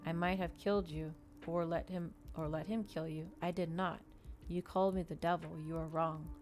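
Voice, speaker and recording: Woman acting anguished vampire man, brooding, intense, low voice, gothic storybook reading. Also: steady tone, moderate pace, darkish tone, soft background music, lightly acted. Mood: intense, reproachful.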